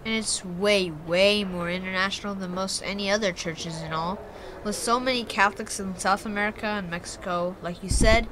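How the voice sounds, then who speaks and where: A man's voice: high voice, bright, forceful tone, slight background noise, rough recording. An actor playing an earnest, troubled young boy in an audio drama, speaking hesitantly.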